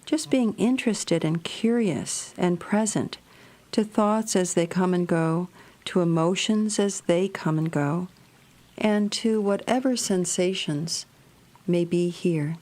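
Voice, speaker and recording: A woman narrating a guided meditation in a gentle, measured, soothing manner. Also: fairly low pitch.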